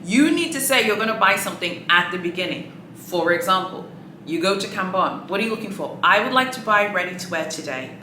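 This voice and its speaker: A woman whose first language is Swahili gives sassy, exasperated commentary, with a bright, forceful edge to her voice. She talks to the camera in an echoey room with a faint hiss of noise behind her. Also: medium pitch, fairly quick. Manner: sassy, exasperated, animated, frequent likes and okays. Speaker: luxury shopping vlogger. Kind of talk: casual commentary.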